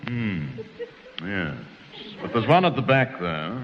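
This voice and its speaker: A brisk, coaxing dentist. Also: male voice, on the low side, big pitch swings, slight background noise. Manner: brisk, coaxing bedside manner. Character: fussy brisk dentist, middle-aged man. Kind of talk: 1960s radio comedy acting. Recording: dull old radio recording with reverb.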